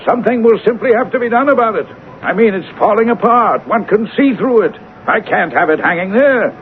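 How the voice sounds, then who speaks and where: A crabby, self-important government bigwig in a seventies radio play. His high voice swoops around as he blusters and hems. The sound is thin and tinny, with some hiss. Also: animated, a little fast. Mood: fussy, disapproving. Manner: blustering, hemming, pompous. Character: crabby, pompous male senior official, blustering at his clerk. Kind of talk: 1970s radio drama acting. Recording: tinny, noisy old radio recording.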